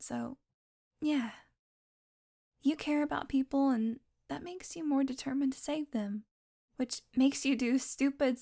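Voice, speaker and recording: A centuries-old vampire woman, acted by a woman in an audio roleplay, speaks in a high voice straight to the listener. She is sardonic and predatory, teasing with dry sarcasm and a grudging fondness underneath. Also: vocal fry, darkish tone. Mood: wry, earnest.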